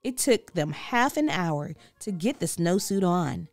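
A woman reading a children's story aloud expressively, with theatrical, deliberate emphasis. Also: fairly low pitch, sing-song, dry recording, soft background music. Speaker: storybook reader.

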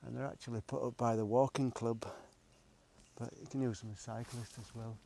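A middle-aged man narrates his own bike ride in a chatty, rambling way, muttering asides to himself. His voice sits at an ordinary pitch for a man. The sound comes from a small camera clipped to the bike outdoors, with plenty of noise around it. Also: little pitch movement, a little fast, vocal fry, warm tone. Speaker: cycling vlogger. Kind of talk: casual narration while riding. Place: outdoors on forest trails. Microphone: small pocket camera mounted on the bike frame. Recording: noisy outdoor camera audio.

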